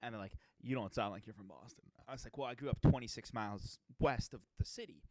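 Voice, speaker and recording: A man's voice: mid-range voice, fast talker, darkish tone, no echo. A young man from Massachusetts co-hosting a podcast, chatting casually with rambling, self-deprecating asides. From Massachusetts, USA. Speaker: podcast co-host. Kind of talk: casual chat.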